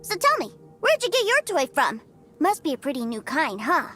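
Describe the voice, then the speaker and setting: A woman's voice: high voice, wildly swooping pitch, normal speed, sharp tone, soft background music, full character voice. A woman voicing an eager toy-loving little boy. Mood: excited. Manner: eager, pouty and defensive. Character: excitable young boy who loves toys. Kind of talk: game cutscene voice acting.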